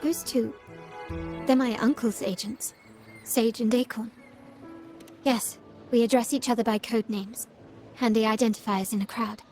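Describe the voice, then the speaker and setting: An actress playing a poised young heiress of a crime family in a video game, speaking formally and precisely. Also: female voice, a little high, fairly even intonation, a little slow, long pauses, a little mellow, close to an everyday voice. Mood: composed, businesslike. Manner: composed, formal, articulate. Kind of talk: scripted video game dialogue. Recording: music behind.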